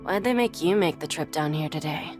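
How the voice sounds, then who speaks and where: A woman's voice: low-pitched, expressive, a little fast, music in the background. A woman voicing a weary, sardonic female prison clerk in a video game, dry and grumbling. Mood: wry.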